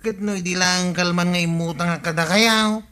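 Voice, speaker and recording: Sheepish borrower, Filipino accent, bright higher male voice, hesitant and pleading, radio comedy. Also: fairly even intonation, moderate pace, clean tone.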